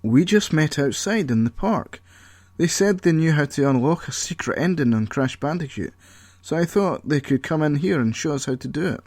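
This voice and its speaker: A man's voice: fairly high pitch, lively intonation, moderate pace, a little mellow, no room sound, pitched above the performer's own voice. A man voicing an easygoing young man who talks in a hesitant, apologetic way. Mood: placating. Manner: apologetic, hesitant, laid-back.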